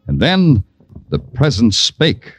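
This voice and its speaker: An actor playing a wisecracking, hard-boiled New York police detective in an old radio drama, sardonic in dialogue and florid in narration. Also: male voice, mid-range voice, wildly swooping pitch, takes it slowly, soft background music. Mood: wry. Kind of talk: 1940s-50s radio detective drama acting.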